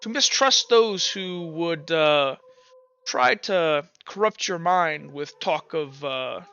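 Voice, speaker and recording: Wary devout priest voiced by a man, bright crisp halting voice, slightly dull recording. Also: fairly high pitch, full character voice. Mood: preachy. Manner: halting, many filler sounds.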